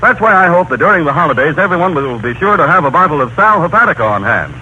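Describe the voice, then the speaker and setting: An American man working as a 1930s radio announcer, delivering scripted banter and a sponsor pitch. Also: very animated, quick pace, pushed and bright. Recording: muffled, slightly noisy 1930s radio broadcast.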